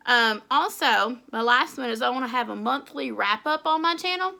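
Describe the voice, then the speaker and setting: A woman's voice: fairly high pitch, expressive, normal speed, creaky, pushed and bright. A young woman with an Appalachian English accent, chatting casually to camera about her goals, talkative and laughing often at herself. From Tennessee. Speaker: author and book YouTuber. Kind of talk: casual vlog chat to camera.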